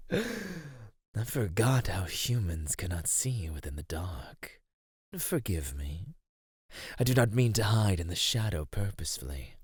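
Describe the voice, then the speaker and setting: A man playing an ancient cave monster in an ASMR roleplay, husky and low, speaking formally with predatory, teasing menace. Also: a little mellow, no room sound, studio quality, exaggerated voice. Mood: amused, imposing.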